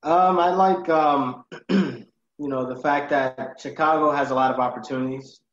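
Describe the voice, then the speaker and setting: A man from Ghana answers interview questions in a relaxed, unhurried way, filling pauses with um and you know. His voice sounds dull and slightly muffled. A noticeable echo of the room hangs around his words. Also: mid-range voice. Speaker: guest, accountant.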